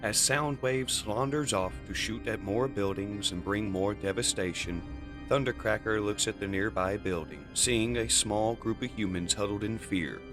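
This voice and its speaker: A man's voice: fairly low pitch, moderate pace, over music. A man hosting and narrating a comic dub in a theatrical, scripted storytelling style.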